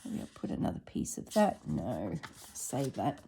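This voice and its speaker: A woman with a slightly husky voice narrating a casual craft tutorial at her table, thinking aloud as she works. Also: rumbling low, wildly swooping pitch, mellow. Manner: unhurried, thinks aloud while working. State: recovering from illness, slightly husky throat. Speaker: craft vlogger.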